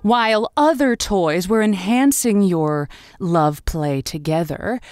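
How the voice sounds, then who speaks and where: A woman playing an earnest, tactful advice host on a comedy call-in show, choosing florid words with halting pauses. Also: mid-range voice, darkish tone, dry recording, studio quality, close to an everyday voice. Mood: tactful, bemused. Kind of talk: improvised radio advice, conversational.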